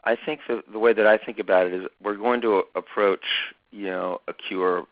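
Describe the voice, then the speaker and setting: Very deep gravelly man, hesitant. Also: steady tone, dry recording. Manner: measured, hesitant, many fillers. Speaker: foundation chairman and host. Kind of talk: conversational webinar talk. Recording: dull lo-fi dry recording.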